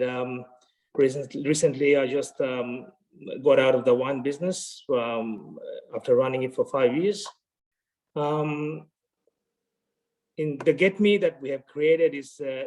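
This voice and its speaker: A man's voice: mid-range voice, a little mellow, rough recording. Nervous man, hesitant. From Bangladesh. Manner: nervous, hesitant, many fillers. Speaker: company director. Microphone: video call.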